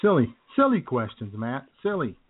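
Man, sarcastic podcast co-host, dry delivery, mid pitch, tinny phone-line sound. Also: huge pitch swings, moderate pace. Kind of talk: casual comedy chat.

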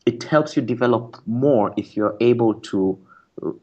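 A man's voice: medium pitch, measured pace, a little mellow, dull audio. A man from Kenya, an author, explaining his ideas as an interview guest in a thoughtful way with fillers and restarts. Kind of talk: radio interview conversation.

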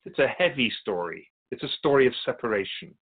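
A man playing a calm otherworldly being in a radio drama interview, explaining humanity to humans in a measured, knowing, didactic way. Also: medium pitch, phone-quality sound. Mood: calm, explanatory.

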